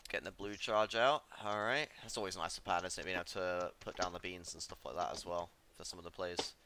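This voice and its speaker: A man's voice: deep voice, steady tone, quick pace, noisy background. A man running a live card game stream, chatting casually and laughing easily as he commentates. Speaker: stream commentator and tournament organiser.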